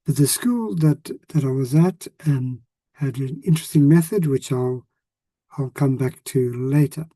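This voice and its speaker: A man in his sixties with a South African accent, a retired language teacher talking casually to former students, often pausing with ums and restarting sentences. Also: mid-range voice, dark tone, no echo. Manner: hesitant, many ums and restarts.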